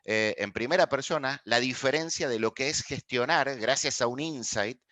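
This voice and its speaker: A man from Argentina with an Argentine accent, giving a courteous, measured online masterclass as a guest speaker and often pausing on filler sounds over a video call. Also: medium pitch, pushed and bright, no room sound.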